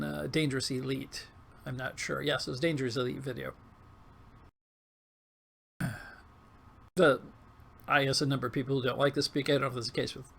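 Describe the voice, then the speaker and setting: A man with a coarse, hoarse, failing voice giving casual, halting commentary over gameplay. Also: mid-range voice, a bit bright. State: hoarse, losing his voice. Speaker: gaming video host.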